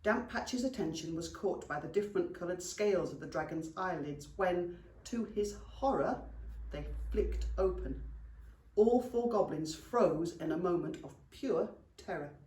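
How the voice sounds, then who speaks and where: British lady teacher reading a kids story, really lively, bit far from the mic in an echoey room. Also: fairly low pitch, a little slow. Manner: animated, addresses young listeners fondly. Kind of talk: expressive children's story reading. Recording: roomy echo, distant microphone.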